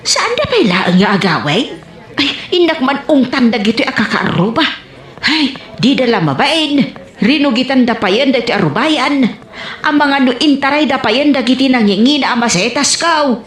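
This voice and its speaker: A woman's voice: high-pitched, very animated, normal speed. A woman playing a bossy, fussy older mother in a comedy radio drama, grumbling and exclaiming. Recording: roomy echo.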